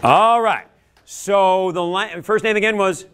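Upbeat canadian guy running a workshop, kinda high voice, big classroom, camera far off. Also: a little slow, background noise. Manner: upbeat, animated, with sudden loud calls to the room. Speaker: leadership trainer. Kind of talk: energetic workshop presentation. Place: large classroom, standing before a crowd of students.

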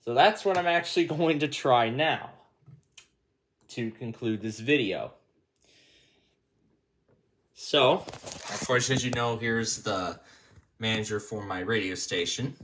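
A man's voice: medium pitch, takes it slowly, long pauses, a bit bright. A man giving a casual hands-on tutorial as its host, thinking aloud as he works through the steps indoors. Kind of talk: casual hands-on app demonstration.

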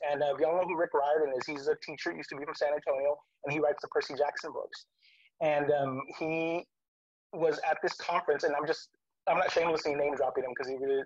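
A middle-aged man from South Texas, telling his story as an author on a video call, animated and full of restarts. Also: on the high side, a little hiss or hum. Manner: animated, talks fast with many restarts. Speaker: author guest. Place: home room with virtual background. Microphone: webcam on a video call. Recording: dull lo-fi video call audio.

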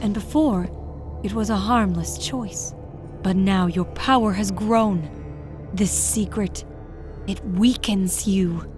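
A woman playing a shrewd, insistent mystic adviser to a warlord, measured and probing, in an audio comic drama. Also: mid-range voice, music in the background, close to an everyday voice.

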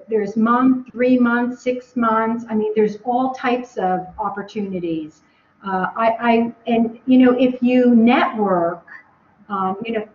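An American woman with a fairly high voice answers webinar questions from home, rambling and restarting with plenty of ums. Her voice comes through a dull, echoey video call with faint noise behind it. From United States. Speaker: guest speaker.

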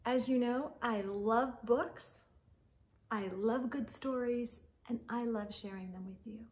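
A middle-aged woman reading a picture book aloud to young children in an expressive, sing-song way. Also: on the high side, slow pace. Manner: expressive, sing-song delivery with animal sounds and hushed asides. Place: living room or home library with bookshelves.

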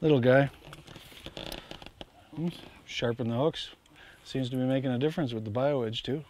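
A man in his thirties, a bass angler, chatting casually while fishing from a boat on a lake, chuckling and talking to the fish. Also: moderate pace, darkish tone, slight background noise. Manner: easygoing, chuckles, talks to the fish. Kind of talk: casual on-water commentary.

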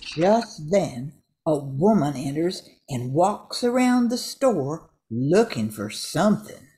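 A woman's voice: sits low, sing-song, takes it slowly, warm tone, a little hiss or hum. An older woman reading scripted narration for an amateur radio drama.

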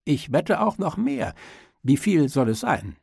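A man's voice: on the high side, very animated, measured pace, gravelly, darkish tone, husky, no room sound, studio quality, pitched above the performer's own voice, heavily acted. A man reading an audiobook, voicing a cool, mocking, wealthy gold-rush gambler who sneers and challenges. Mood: taunting.

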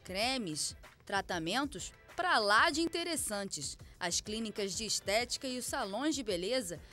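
A young Brazilian woman presents a TV news piece in an upbeat, scripted tone. Her voice sits high. Faint music runs under her. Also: expressive, a little slow, sharp tone. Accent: Brazilian Portuguese. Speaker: TV reporter. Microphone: handheld mic.

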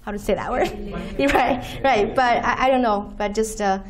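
A woman whose first language is Chinese, giving a university lecture from a podium and explaining terms slowly with many "okay"s. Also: on the high side, a crowd in the background. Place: lecture room, standing at podium by projector screen.

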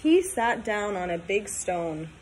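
A woman in her twenties reading a storybook aloud to young children, talking in simple, sing-song, animated phrases. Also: mid-range voice, takes it slowly, slight background noise, low-quality recording. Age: 20s. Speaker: teacher reading aloud to pupils.

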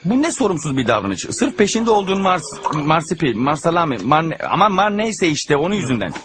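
A man's voice: fairly high pitch, sing-song, a little fast, a bit bright, a little hiss or hum. An actor playing a fussy, exasperated father in a dubbed cartoon, flustered and stumbling over words. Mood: scolding, flustered.

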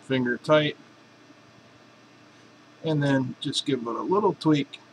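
A man's voice: medium pitch, takes it slowly, a little hiss or hum, poor audio. A man in a home workshop explaining a welding torch swap in a casual, joking, folksy way. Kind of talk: casual workshop how-to narration.

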